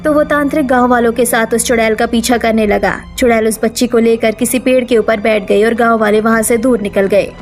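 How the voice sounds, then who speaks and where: A woman's voice: on the high side, fairly even intonation, fairly quick, few pauses, soft background music. A woman narrating a scripted cartoon story as its storyteller.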